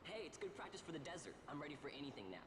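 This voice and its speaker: Brash teenage street boy, swinging pitch. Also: sing-song, very fast, background noise. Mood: cocky. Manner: casual, brash, youthful. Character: cocky, restless teenage orphan boy dreaming of adventure. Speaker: video game character. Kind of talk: voice acting in a game cutscene.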